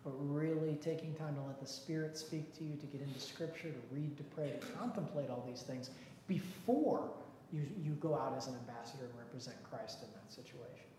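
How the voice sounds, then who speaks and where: A man's voice: fairly high pitch, brisk, mellow. A male pastor talks in a folksy, self-deprecating manner while leading a discussion with his congregation. His voice rings around a roomy church hall with a long echo tail. Faint noise sits underneath. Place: church sanctuary.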